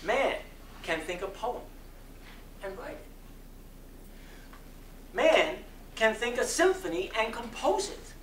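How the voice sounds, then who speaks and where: A man performing a dramatic sermon reading in an oratorical style, with solemn, emphatic phrasing and long deliberate pauses. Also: extremely high pitch, big pitch swings, fairly bright tone. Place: community center hall before an audience. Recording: roomy echo, distant mic, faint noise.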